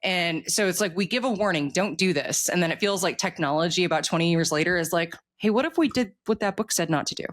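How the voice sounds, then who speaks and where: A woman's voice: fairly low pitch, fast, few pauses. Woman chatting casually, wry and joking. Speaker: author, interview guest. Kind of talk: casual interview chat.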